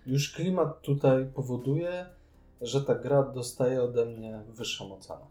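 A man speaks in a soft-edged, mellow voice at a medium pitch. He co-hosts a relaxed, casual review chat, with faint music playing behind him. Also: a little slow. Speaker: podcast co-host.

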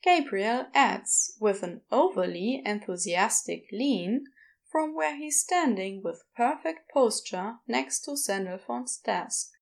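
A woman's voice: a little high, animated, slow pace, vocal fry, a little hiss or hum. A woman narrating a scripted story in an audiobook style.

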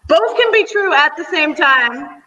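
A man's voice: very high voice, expressive, unhurried, far from the mic, dull audio, a crowd in the background. A man talking earnestly about breathing as a teacher on a panel discussion, rambling a bit and laughing often.

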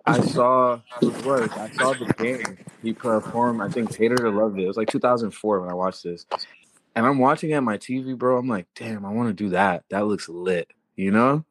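A young man from Florida, born in Haiti, a rapper and songwriter talking casually as a podcast guest in a relaxed, slangy way. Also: lowish voice, moderate pace, poor audio.

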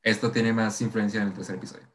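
A man's voice: on the low side, level tone, quick pace, a bit bright, a little hiss or hum. A young man with a Mexican accent co-hosting a podcast, casually recapping a show with heavy slang and swearing. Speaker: podcast co-host. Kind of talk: casual chat recapping a TV series.

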